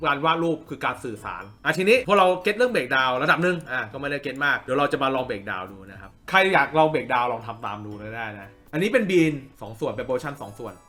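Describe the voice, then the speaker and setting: A man's voice: mid-range voice, expressive, rapid-fire, few pauses, sharp tone, faint music behind. A man giving an informal drawing tutorial as the teacher, repeating phrases for emphasis and often checking in with listeners. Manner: checks in with listeners with frequent tag questions.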